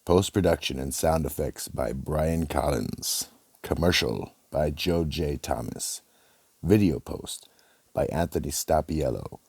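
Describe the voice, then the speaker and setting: A dramatic storyteller for radio mystery theatre, played by a man. His voice is extremely deep and husky, and he narrates slowly and deliberately. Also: darkish tone, no room sound, clean studio sound, exaggerated voice. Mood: announcing. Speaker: narrator and announcer.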